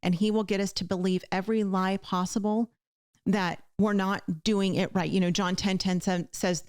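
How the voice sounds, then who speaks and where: A woman's voice: mid-range voice, steady tone, normal speed, darkish tone, dry recording, studio quality. A woman hosting a conversational show, speaking in an earnest, emphatic way as she encourages her listeners directly. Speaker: podcast host.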